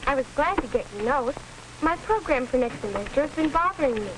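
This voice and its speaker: A young woman playing a polite, earnest high school girl in a 1940s educational film, speaking neatly and properly. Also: lively intonation, a little fast. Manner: neat, proper, scripted delivery. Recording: noisy, scratchy 1940s film soundtrack.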